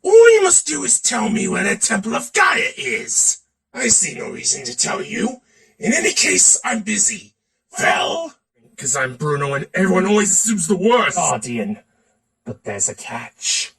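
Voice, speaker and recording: A man's voice: sits high, huge pitch swings, unhurried, vocal fry, a bit bright, far from the mic. A man performing theatrical character voices in a voice acting reel, switching from one to another. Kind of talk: voice acting demo reel of game and cartoon character impressions.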